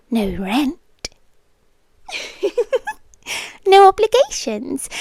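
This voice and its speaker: British woman doing a possessive snake monster gf, asmr, really slow n swoopy, soft whispery bits, seductive but menacing. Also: sits high, no echo, studio quality, full character voice. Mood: coaxing, tender.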